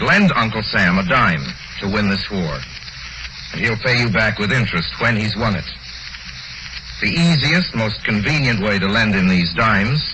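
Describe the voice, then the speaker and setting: An American man announcing on 1940s radio, reading a scripted appeal in an emphatic, formal, persuasive way. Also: lowish voice. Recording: muffled, noisy 1940s radio broadcast.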